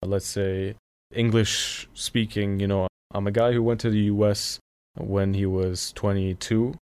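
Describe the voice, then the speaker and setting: A man's voice: lowish voice, little pitch movement, a little slow, a little mellow, clean tone, dry recording. A young man from Syria with an American accent, whose first language is Armenian, talking thoughtfully in a casual studio conversation and searching for his words. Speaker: podcast guest.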